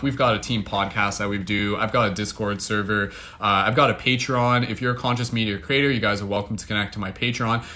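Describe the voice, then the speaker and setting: A young man with a Canadian accent, chatting casually and excitedly to livestream viewers, full of fillers. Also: fairly low pitch. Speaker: livestream host.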